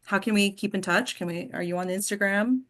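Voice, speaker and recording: A woman's voice: medium pitch, fairly even intonation, brisk, fairly bright tone. A woman hosting an informal workshop discussion as an acting coach, instructive and often checking in with the group. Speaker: host and acting coach leading a table read discussion.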